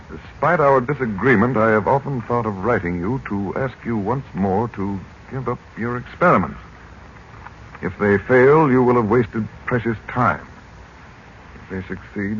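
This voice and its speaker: An actor playing a grave, purposeful middle-aged uncle in 1940s radio drama style, on a dull recording. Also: male voice, lowish voice, a little hiss or hum, lightly acted.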